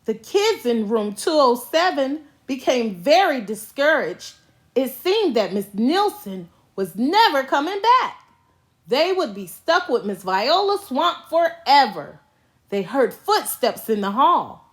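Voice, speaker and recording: A woman reads a children's storybook aloud. Her high voice moves through wide, expressive swings of pitch at an unhurried, very slow pace. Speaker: storybook reader.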